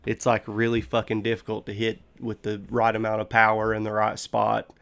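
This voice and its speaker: A man's voice: on the low side, monotone, brisk, creaky, a bit bright, clean tone. A man hosting a casual stream chat, with crude, profane banter. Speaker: stream host.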